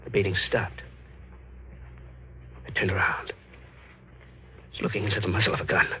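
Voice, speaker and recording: An actor playing a tough but frightened escaped convict in a 1940s radio mystery, slangy and prone to stammering. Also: male voice, sits low, level tone, moderate pace, a little hiss or hum, close to an everyday voice. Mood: tense narration. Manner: hard-boiled slangy talk, stammering when rattled. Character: tough, frightened male escaped convict narrating his nightmare. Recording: dull old radio recording, loud music behind.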